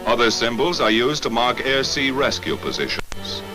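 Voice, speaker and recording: A man's voice: medium pitch, sing-song, normal speed, music in the background, rough recording. An American man reading scripted 1940s wartime documentary narration in a clipped, declarative newsreel manner, on a vintage film soundtrack.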